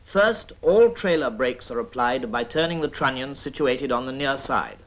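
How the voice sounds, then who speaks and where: A British man reads scripted 1950s military training film narration in a measured, instructive manner with dry asides. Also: mid-range voice, animated, a bit bright. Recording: dull vintage 1950s film soundtrack.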